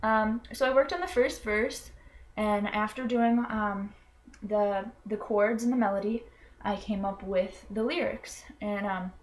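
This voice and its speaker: A woman's voice: medium pitch, fairly even intonation, measured pace. Hesitant young woman with many ums. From Florida. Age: young adult. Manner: hesitant, full of ums and restarts; earnest and self-conscious. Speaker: college student. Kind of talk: personal explanatory video.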